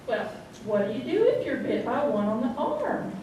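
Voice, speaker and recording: A woman's voice: a little high, big pitch swings, moderate pace, warm tone. A woman playing a folksy, cheerfully brutal small-town home remedy lady in a comic radio monologue, chatty and instructive. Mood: cheerfully matter-of-fact. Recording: distant, very echoey, noisy stage recording.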